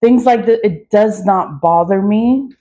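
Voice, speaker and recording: A woman's voice: mid-range voice, unhurried, mellow. A woman in her mid-forties ranting casually to her followers, blunt and profane, laughing often between points. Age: 40s. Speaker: social media creator. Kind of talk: casual vlog rant.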